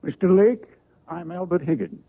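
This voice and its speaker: An actor playing a courteous, persuasive middle-aged book publisher in an old-time radio drama, with polite, coaxing manners. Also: male voice, sits high, normal speed, slight background noise. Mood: polite, hesitant. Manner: polite, hesitant, fatherly coaxing. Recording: muffled, tinny old radio recording.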